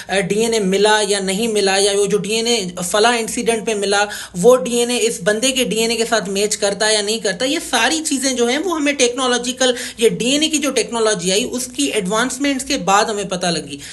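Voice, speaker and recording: A legal educator with a Pakistani accent delivers an informal lesson at a middle pitch. The delivery is explanatory and somewhat halting, with repeated and reworked phrases. English legal terms are mixed in throughout. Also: fairly bright tone. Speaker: legal educator, video host.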